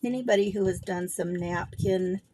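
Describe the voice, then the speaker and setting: A middle-aged woman with a gruff voice, chatting and rambling casually as she hosts a craft livestream. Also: medium pitch, normal speed, noisy background. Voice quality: gravelly, gruff voice. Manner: chatty and rambling, thinking aloud while working. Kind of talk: casual craft demo chat.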